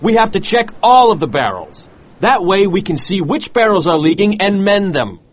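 Man playing an earnest, high-pitched, husky ship's mate. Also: sing-song, normal speed. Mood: despairing. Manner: urgent, pleading, earnest. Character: earnest, worried, principled male first mate on whaling ship.

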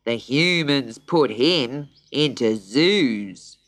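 Lady doing a pompous pelican prosecutor for a kids story, really slow and solemn, drags words out. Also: very deep voice, lively intonation, full character voice. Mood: solemn, stern. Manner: slow, drawn-out, formal pronouncements. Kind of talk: children's storybook character voice.

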